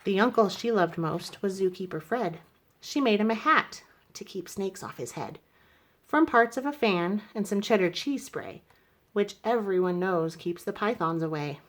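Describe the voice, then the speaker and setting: A woman reading a rhyming children's story aloud in an expressive narrating style. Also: mid-range voice, normal speed. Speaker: storybook reader.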